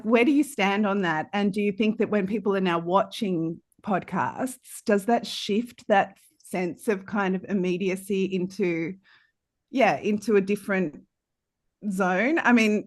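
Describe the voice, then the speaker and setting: A woman's voice: mid-range voice, measured pace. A woman in a conversational panel discussion, asking questions tentatively and searching for her words as she goes.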